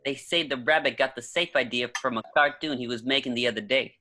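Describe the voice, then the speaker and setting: A man's voice: medium pitch, fairly quick, bright, forceful tone, no room sound, natural voice. A man playing a hangdog, weary police lieutenant in an amateur screenplay table read. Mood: weary, terse.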